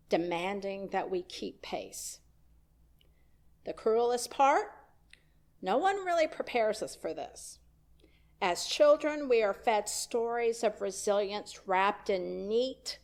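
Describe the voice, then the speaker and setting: A woman minister giving a reflective sermon in a church, speaking in a slow, measured way. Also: medium pitch. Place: church sanctuary.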